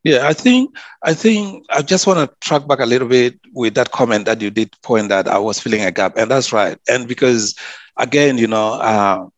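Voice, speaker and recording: A man speaks in an earnest, steady way, often doubling back on his words as he thinks. His voice sits in a middle pitch and sounds dry and close, with no room echo. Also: normal speed. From South Sudan. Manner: earnest, frequent restarts and repeated words. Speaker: public health officer and community advocate. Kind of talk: interview answers.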